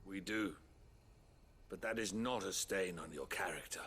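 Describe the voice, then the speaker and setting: An actor playing an earnest, contrite town leader in a fantasy game, speaking solemnly and formally. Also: male voice, low voice, measured pace, a bit bright, a little hiss or hum. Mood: solemn, resolved. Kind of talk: dramatic video game dialogue.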